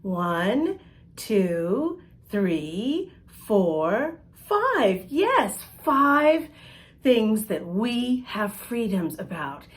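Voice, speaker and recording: A woman reading a picture book aloud to young children, expressively, pausing to ask them questions. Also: mid-range voice, big pitch swings, breathy edge. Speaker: children's storybook reader and host.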